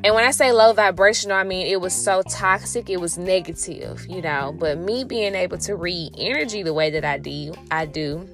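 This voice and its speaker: A woman's voice: on the low side, normal speed, few pauses, fairly bright tone, faint music behind. A middle-aged woman telling a personal story in a rambling, reflective way, with many restarts and fillers and a spiritual turn. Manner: rambling, full of "you know" and restarts. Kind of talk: casual spoken storytelling.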